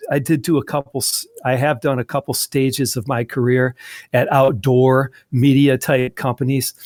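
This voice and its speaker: Middle-aged man, casual guest, mid-pitched, restarts a lot, dry close mic. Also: darkish tone, no echo. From southwestern Wisconsin. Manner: rambling, frequent restarts and fillers. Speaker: guest, magazine editor. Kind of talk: casual interview conversation.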